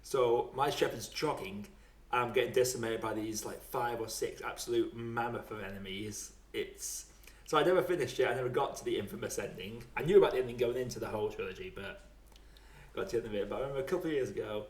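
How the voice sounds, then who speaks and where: A man's voice: medium pitch, fast. A British man chatting casually and informally as the host of a film podcast. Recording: roomy echo.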